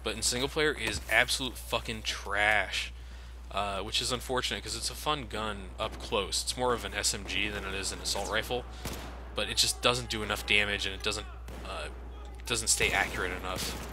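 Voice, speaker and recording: A man's voice: lowish voice, fairly even intonation, normal speed, background noise. A man giving casual, sardonic, sweary commentary as he plays a video game. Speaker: video game let's player and host.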